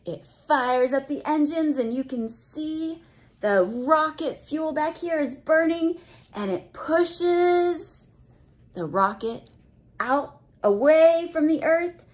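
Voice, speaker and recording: A woman reading a picture book aloud to children, expressive and exclamatory, pausing to explain things simply and put questions to young listeners. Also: high-pitched. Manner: expressive, exclamatory, with audible gasps of wonder.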